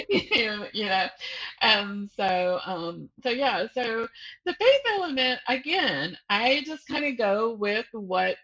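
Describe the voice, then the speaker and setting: A woman's voice: a little high, slow, highs cut off. A woman author being interviewed, chatting casually and telling stories by acting out thoughts and bits of dialogue. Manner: animated storyteller, acts out thoughts and dialogue.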